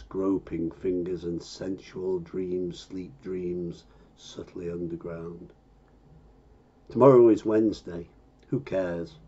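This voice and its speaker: Male poetry reader, dark and husky, measured delivery, dull lo-fi recording. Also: deep voice. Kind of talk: slow, measured poetry recitation.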